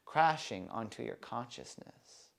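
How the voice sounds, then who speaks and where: A man guides a breathing meditation in an even, mid-range voice. He talks very slowly and leaves long gaps between words. His tone stays soft and soothing throughout. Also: mid-range voice, creaky, a little hiss or hum. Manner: slow, soft, calm and soothing guidance. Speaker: breathwork instructor.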